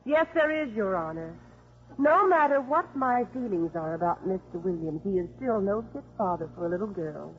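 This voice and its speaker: An actress playing an earnest, conscientious probation officer with careful delivery in 1950s radio drama style. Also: female voice, medium pitch, lively intonation, normal speed, lightly acted. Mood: earnest, troubled. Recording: muffled 1950s radio recording.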